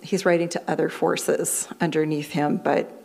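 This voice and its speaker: Low-voiced woman minister preaching at a lectern. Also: level tone, creaky, darkish tone. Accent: American. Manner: measured, explanatory delivery reading from notes. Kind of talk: sermon. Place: fellowship hall, at a lectern. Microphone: lectern microphone.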